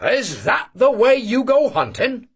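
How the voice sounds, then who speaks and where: A man voicing a loud, boisterous old male Cossack hunter who shouts and teases. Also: very animated, a little slow, breathy edge, exaggerated voice. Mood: scolding. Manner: booming, teasing, shouting.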